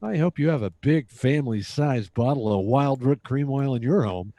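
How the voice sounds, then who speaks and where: A man's voice: mid-range voice, normal speed, dark tone, dry recording, highs cut off. A man announcing in old-time radio style, delivering scripted show introductions and sponsor reads.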